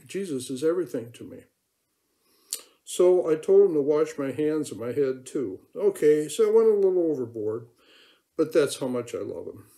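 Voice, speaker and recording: A man playing a remorseful apostle, dark-voiced. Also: medium pitch, creaky. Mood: wondering. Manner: earnest, confessional, emotionally charged. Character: remorseful male apostle recalling his denial. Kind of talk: dramatic first-person monologue.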